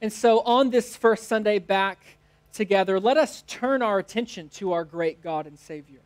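A man's voice: high voice, a little slow, clear, resonant tone. A man in his thirties with an American accent, a pastor giving a sermon in short phrases. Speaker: teaching pastor. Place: church stage, indoors.

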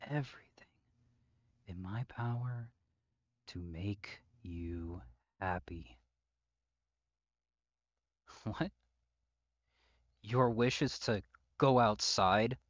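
A man's voice: mid-range voice, no echo, dull audio. A man playing an obsessive, possessive psychopath captor in an intimate roleplay audio drama, speaking with mock tenderness and often dropping to whispers. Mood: menacing tenderness, then angry, mocking.

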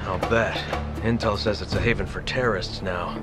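A man's voice: fairly low pitch, fairly even intonation, normal speed, close to an everyday voice. An American man playing a weary, resolute special agent in a video game, terse and grave. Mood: reserved. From United States. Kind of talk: cinematic game acting and narration. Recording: loud music bed behind.

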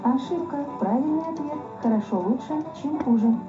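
A woman playing a polite, stubborn smart-door intercom system, giving formal, measured automated prompts. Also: high-pitched, animated. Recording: dull lo-fi echoey audio with music.

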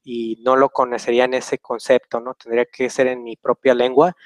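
A man's voice: mid-range voice, level tone, normal speed, dry recording. A young man hosting a casual question-and-answer session over a video call from a small room, rambling and hesitant with many fillers. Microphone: webcam on a video call.

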